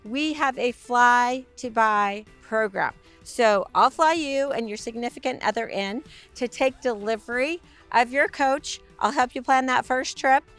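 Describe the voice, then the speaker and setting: A woman with a raspy, hoarse voice giving a bubbly, upbeat sales tour of a motorhome. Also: fairly high pitch, a little slow, fairly bright tone, soft background music. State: hoarse from a week of talking. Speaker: RV sales presenter. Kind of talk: enthusiastic walkaround tour.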